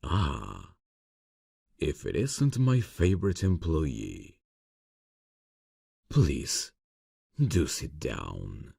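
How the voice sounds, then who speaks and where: Man playing a very deep, gravelly vampire boss. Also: huge pitch swings, dark tone, dry recording, clean studio sound, heavily acted. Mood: silky, welcoming. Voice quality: gravelly, husky. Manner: slow, silky, formal, condescending endearments. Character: suave, sinister vampire boss, courteous but menacing. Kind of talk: ASMR fantasy roleplay.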